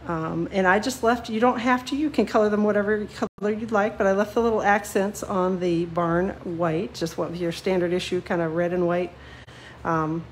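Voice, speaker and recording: A woman's voice: on the low side, darkish tone, slight background noise. Chatty woman coloring on a live craft stream. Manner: chatty, self-deprecating, many ums. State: sniffly from a cold. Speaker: card-making demonstrator. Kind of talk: live card-making tutorial, chatty. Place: home craft desk, seen from above.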